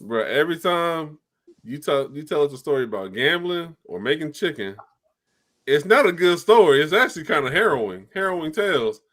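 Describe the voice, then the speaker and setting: A man's voice: on the high side, very animated, brisk, a bit bright. A man co-hosting a casual podcast who reacts with laughs and teases the others, then turns serious in places. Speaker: podcast co-host.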